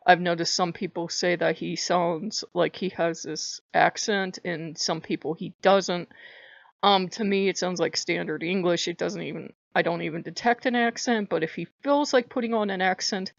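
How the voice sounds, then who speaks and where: Chatty woman with a high voice. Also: moderate pace, vocal fry, dry recording, lo-fi sound. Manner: chatty, rambling, laughs often. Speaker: spiritual vlogger. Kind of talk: casual talk to camera.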